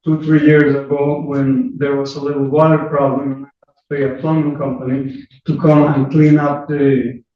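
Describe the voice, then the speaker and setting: A landlord speaking to the judge in a courtroom hearing, halting and slow, restarting his phrases. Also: medium pitch, level tone. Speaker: landlord, plaintiff. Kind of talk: courtroom testimony. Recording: very echoey room, distant, muffled, faint noise.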